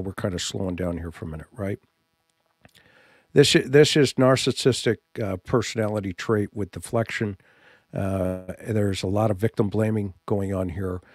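Low-voiced middle-aged man, halting host. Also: darkish tone, no echo, clean studio sound. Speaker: livestream host. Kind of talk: live commentary to an audience. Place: small indoor home studio. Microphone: studio microphone on boom arm, close.

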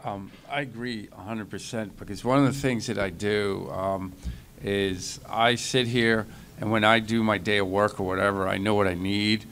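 A middle-aged man on a conference panel speaks in a somewhat low voice. He explains eagerly through comparisons, into a stand mic with a faint background noise. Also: steady tone, moderate pace, fairly bright tone. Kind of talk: casual panel talk. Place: conference hall panel table.

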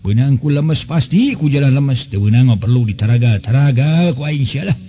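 A man's voice: medium pitch, lively intonation, normal speed, mellow, lo-fi sound, slight background noise, pitched above the performer's own voice, lightly acted. A man voicing a scheming male villain in an audio story, muttering and grumbling to himself in colloquial speech. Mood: plotting. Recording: music bed behind the voice.

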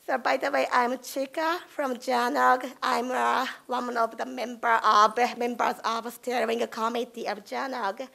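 A woman with a hoarse, lost voice, chairing a conference session in a hall at a table microphone and apologizing as she introduces speakers. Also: on the high side, flat delivery, a little slow, few pauses, pushed and bright. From Japan. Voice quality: rough, gravelly. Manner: apologetic, chatty with fillers.

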